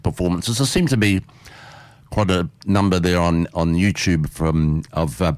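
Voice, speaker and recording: A man's voice: sits low, fairly even intonation, unhurried, dry recording. A man hosting community radio from a studio, chatting casually in a folksy, rambling way. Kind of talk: casual chat, interviewing a studio guest. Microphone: close studio microphone.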